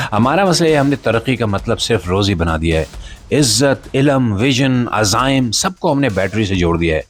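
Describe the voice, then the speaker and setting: A man's voice: on the low side, expressive, normal speed, faint music behind. A man with a Pakistani accent hosting a satirical news show, speaking to the audience in a sarcastic, theatrical monologue.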